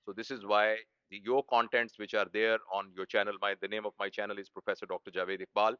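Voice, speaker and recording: A man with a Pakistani accent, a native Urdu speaker, explains things simply as a professor giving a lecture, haltingly rephrasing as he goes. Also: fairly low pitch, little pitch movement, pushed and bright, smooth, no room sound, lo-fi sound. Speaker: medical professor presenting an educational channel.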